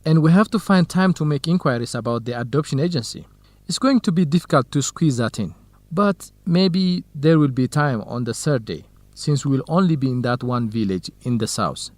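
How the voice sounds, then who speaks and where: Gentle young male researcher, acted by a man. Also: medium pitch, lively intonation, normal speed, no room sound, natural voice. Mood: encouraging. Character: supportive young male academic researcher, gentle, hesitant loyal friend. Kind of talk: radio drama acting, clear dialogue for language learners.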